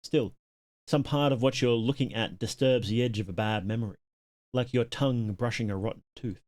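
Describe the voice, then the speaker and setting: A man's voice: mid-range voice, moderate pace, a little mellow. A man live-streaming, reading game text aloud and making casual asides to his viewers.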